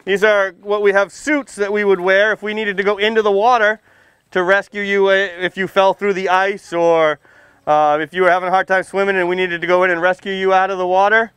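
A man's voice: high voice, little pitch movement, brisk, a bit bright, smooth, no echo. An American man, a firefighter, giving an informal outdoor demonstration to young children in a projecting, folksy manner with simple questions. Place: outdoors, under a tent and beside a fire truck.

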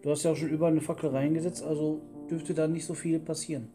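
Man chatting over loud guitar music. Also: on the high side, level tone, quick pace. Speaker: gaming video co-host. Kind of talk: casual let's play chat. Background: prominent plucked guitar music.

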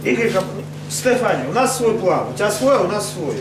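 Male compere at a live poetry night, animated and pushy, recorded in a roomy, noisy club. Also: sits high. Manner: brisk, bossy, hurrying people along. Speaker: host of the poetry evening. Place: stage of a rock cafe. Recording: echoey club room, far mic, audible noise.

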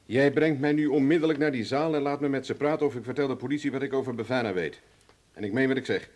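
A male actor plays a confident, hard-bargaining man in a radio play. His voice sits at a middle pitch. He gives orders and needles his opponent with mocking assurance. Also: fast, darkish tone, natural voice. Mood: commanding. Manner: self-assured, taunting, commanding. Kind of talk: radio drama acting.

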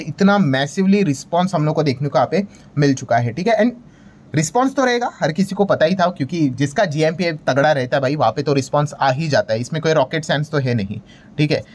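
A man's voice: a little high, expressive, brisk. An Indian man giving a casual, emphatic explainer as a market video host, conversational, hyping things up and mixing in English terms with repeated check-in phrases. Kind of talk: casual explainer commentary over screen graphics.

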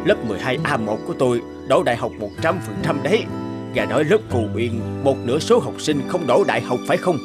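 A stern, competitive male teacher from a cartoon, voiced by a man, with a firm, lecturing delivery. His pitch swings widely as he makes his points. Music plays clearly under his voice. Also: lively intonation, fast, close to an everyday voice. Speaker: teacher character. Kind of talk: animated fairy-tale dubbing. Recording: music bed behind voice.

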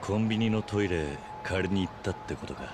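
An actor playing a stoic, commanding middle-aged former yakuza in a video game, speaking tersely and gravely. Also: male voice, deep voice, brisk, background noise, natural voice. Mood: calm. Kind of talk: acted video game cutscene dialogue.

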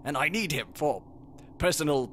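A man voicing a resentful young military officer in an animated parody, bickering indignantly over a noisy background. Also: on the high side, normal speed. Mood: snippy.

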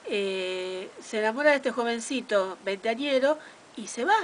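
A woman's voice: on the high side, expressive, a little slow, slight background noise. Chatty Argentine woman radio host. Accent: Argentine Spanish. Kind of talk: radio interview. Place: small radio studio.

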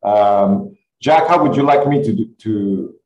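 A man speaks hesitantly on a video call as a webinar guest, restarting his sentences. His voice is low and sits far from the mic. The room echoes and the audio is dull. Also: lively intonation, unhurried, darkish tone, a little hiss or hum. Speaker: webinar guest, tech agency CEO. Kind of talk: webinar conversation. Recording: roomy, distant, dull laptop audio.

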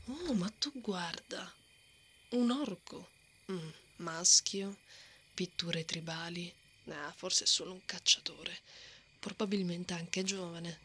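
A woman playing a brave, hot-tempered, bossy female knight in an ASMR roleplay, often breathy and muttering threats to herself. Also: on the low side, slow pace, pushed and bright, exaggerated voice. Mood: sly, muttering. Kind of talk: ASMR roleplay, acted monologue. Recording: noticeable outdoor ambience effects behind.

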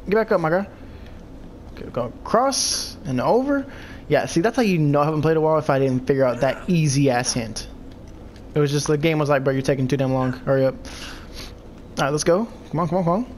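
A man's voice: on the high side, fairly quick, pauses often, a little mellow, a little hiss or hum. A young man with a stuffy, congested voice gives loose, profane commentary while playing a video game. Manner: loose, profane trash talk at enemies. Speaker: let's play host. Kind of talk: casual gameplay commentary.

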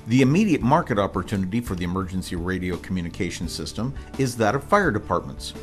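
An American man reading scripted promotional narration in a formal, measured, informational manner. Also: on the low side, lively intonation. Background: music bed.

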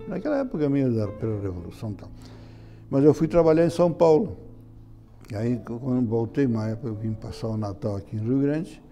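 Older man, dark mellow voice, lively pitch. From Brazil. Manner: halting, repeats words, chuckles often. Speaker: interviewee. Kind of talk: reminiscing interview talk. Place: indoor room beside an altar.

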